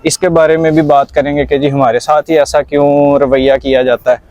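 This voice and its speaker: A man's voice: fairly high pitch, flat delivery, normal speed, darkish tone, dry recording, dull audio. A young Pakistani man with a Punjabi accent hosting a casual conversational vlog, mixing Punjabi and English words into his talk. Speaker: vlog host.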